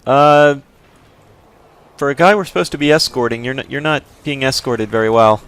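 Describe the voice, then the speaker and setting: Male let's-play commentator, casual and explanatory, smooth resonant voice, mid pitch. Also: moderate pace, fairly bright tone. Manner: casual, explanatory, frequent restarts. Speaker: gameplay commentator.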